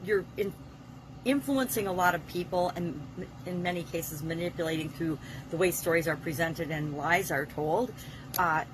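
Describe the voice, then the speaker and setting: A woman's voice: on the low side, background noise. A woman hosting an informal business advice monologue, explaining things with emphatic stress on key words. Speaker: host of a daily business advice series.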